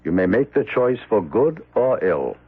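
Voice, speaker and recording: An actor playing Death incarnate in a radio drama, a solemn, merciless spectre with gravelly, formal speech. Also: male voice, lowish voice, sing-song, dry recording, heavily acted. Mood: solemn, menacing. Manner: slow, solemn, formal, commanding. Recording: muffled, narrow-band old radio recording.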